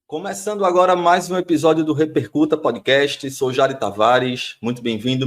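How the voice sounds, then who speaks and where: A man's voice: medium pitch, a little hiss or hum. A man from Brazil hosting a podcast interview, friendly and curious, often repeating words as he asks questions. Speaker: podcast host.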